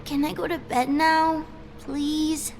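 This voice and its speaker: A woman's voice: sits high, level tone, slow pace. A woman playing an anxious young girl who fears getting in trouble, in a video game. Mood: anxious, pleading. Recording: music behind the voice.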